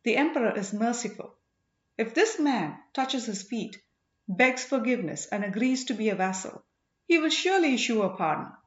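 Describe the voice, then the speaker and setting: A woman acts out a sneering male commander from a storybook tale. He is mockingly polite and swings freely in pitch. The recording is roomy and slightly dull. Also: expressive, normal speed, lightly acted. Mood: derisive. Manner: mocking, feigned deference. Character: sneering, slyly deferential male military commander.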